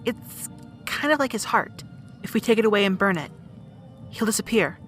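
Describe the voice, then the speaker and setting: Woman as earnest young heroine over clear music. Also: mid-range voice, animated, normal speed, close to an everyday voice. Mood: determined. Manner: earnest, emotional. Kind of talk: amateur audio drama acting.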